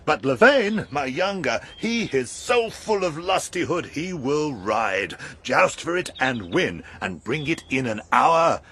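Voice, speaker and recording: A hearty old lord, husky and slow, with big swoops of pitch. He declaims verse like a proud, teasing father in a radio drama. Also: big pitch swings, a bit bright, heavily acted.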